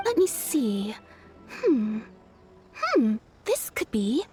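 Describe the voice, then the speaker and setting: A high-voiced, courteous shopkeeper. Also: female voice, huge pitch swings, very slow, full character voice. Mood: polite, businesslike. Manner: deferential, customer-service politeness. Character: courteous, businesslike female kimono shopkeeper, eager to please. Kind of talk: anime game voice acting. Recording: faint background music.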